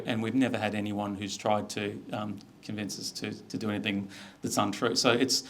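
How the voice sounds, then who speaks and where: Australian male executive, slightly low voice, informal presenter explaining things in a conversational way.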